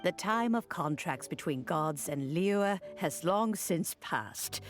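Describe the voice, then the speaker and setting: An actress playing an elegant, commanding noblewoman who leads a city in a video game, speaking in a measured, gracious way. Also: fairly low pitch, lively intonation. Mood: composed. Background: game music.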